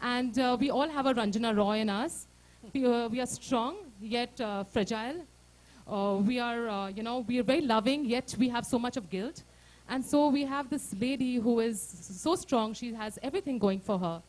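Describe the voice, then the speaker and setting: A woman's voice: fairly high pitch, moderate pace, a little mellow, low-quality recording. A woman author giving a speech at her book launch, earnest and hesitant, with many fillers.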